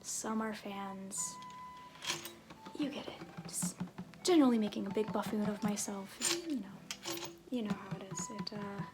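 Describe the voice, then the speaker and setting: A woman playing a flirty, bumbling tiefling circus fool in an ASMR roleplay, theatrical yet awkward and halting as they address the listener. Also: on the high side, gravelly. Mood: sheepish, showy.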